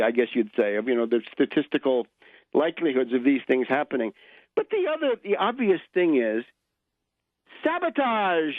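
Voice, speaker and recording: A man's voice: high voice, no echo. Older American man on a phone-like line. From United States. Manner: polemical, didactic, punctuated with rhetorical tags like "right?". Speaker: radio commentator and host. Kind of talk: unscripted political commentary. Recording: narrow phone-like line, dry.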